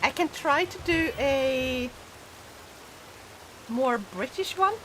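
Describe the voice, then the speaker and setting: A woman's voice: sits high, expressive, slow, a bit bright, a little hiss or hum. A young woman with a light Swedish accent, casually chatting with viewers and giggling while she plays a game on stream. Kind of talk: casual live-stream chat while gaming.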